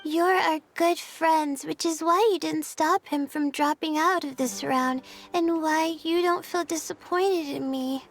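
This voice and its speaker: Anxious, very high-voiced young student girl. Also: steady tone, measured pace, few pauses. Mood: reflective, earnest. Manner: hesitant, earnest, soft-spoken. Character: anxious, modest young female astrology student. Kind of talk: anime-style video game voice acting.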